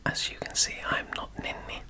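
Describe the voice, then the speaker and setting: A man from England with a mixed English and Welsh accent, doing a whispered ASMR accent tag, soft and hesitant with many pauses. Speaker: guest on girlfriend's ASMR channel.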